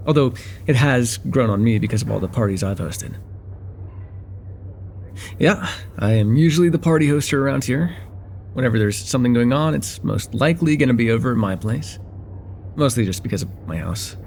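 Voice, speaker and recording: A man playing a friendly young college guy, lively pitch swings. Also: creaky, a little hiss or hum. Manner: casual, hesitant, teasing, with soft chuckles and hums. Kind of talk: slice-of-life ASMR roleplay, one-sided conversation with the listener.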